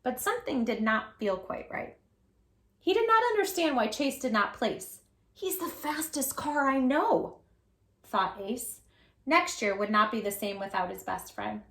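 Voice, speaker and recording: A woman hosting storytime, reading a children's picture book aloud in a gentle, expressive, affectionate way. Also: medium pitch, moderate pace, gravelly, roomy sound.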